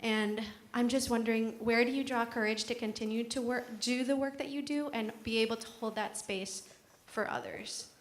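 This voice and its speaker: Polite woman asking a question, higher pitch. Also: steady tone, normal speed, few pauses. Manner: polite, tentative. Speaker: audience member. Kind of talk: audience question.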